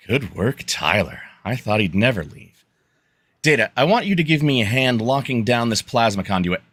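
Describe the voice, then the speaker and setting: A man's voice: mid-range voice, very animated, normal speed, a bit bright, no room sound. A man playing an exasperated, hard-working starship engineer in a radio play, emphatic but straining to stay polite. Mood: relieved.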